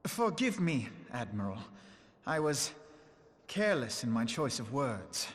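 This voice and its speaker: A man's voice: mid-range voice, big pitch swings, measured pace. A man playing an idealistic, earnest young diplomat in a fantasy game, speaking in formal, articulate phrases. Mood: contrite. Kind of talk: dramatic fantasy game acting. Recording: long hall reverb.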